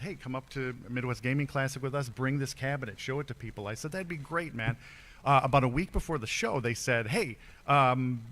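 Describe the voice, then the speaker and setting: A man speaking at an ordinary pitch into a handheld mic at a convention panel. He rambles through a story in a relaxed, chatty way, stopping and restarting often. The talk is casual and unscripted. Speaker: arcade business owner and panelist.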